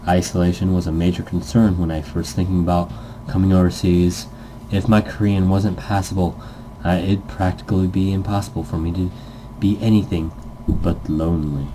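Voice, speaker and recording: A man's voice: deep voice, level tone, moderate pace, mellow, slight background noise, poor audio. A man casually reading a visual novel script aloud as narration, stumbling now and then and correcting himself. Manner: stumbles over words, corrects himself, jokey asides.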